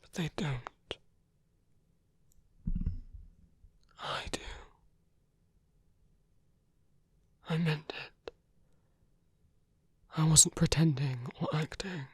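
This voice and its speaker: A performer voicing a seductive, protective villain in an intimate romantic ASMR roleplay, gently coaxing in a halting, husky voice that often drops to a whisper. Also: mid-range voice, dry recording. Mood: tender, coaxing. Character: seductive villain, protective, tenderly persuading an abandoned hero.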